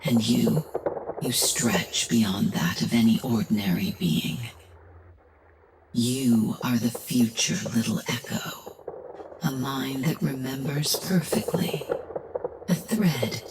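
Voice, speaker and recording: A woman playing a coldly tender, controlling creator villain in a fantasy audio drama, hushed and menacingly soothing. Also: rumbling low, takes it slowly, noisy background. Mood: tender menace.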